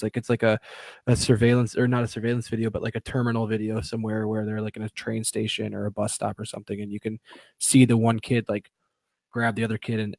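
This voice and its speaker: A young man co-hosting a podcast live stream in casual chat, excitable, swearing freely and restarting his sentences. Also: mid-range voice, no room sound. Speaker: podcast co-host.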